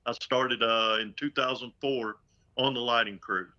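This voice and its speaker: A man's voice: mid-range voice, fairly even intonation, measured pace, pushed and bright. An older man speaking as an interview guest over a video call from home, easygoing and folksy with chuckles. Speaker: guest, concert road crew member. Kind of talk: casual interview chat. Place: home room. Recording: dull video call audio.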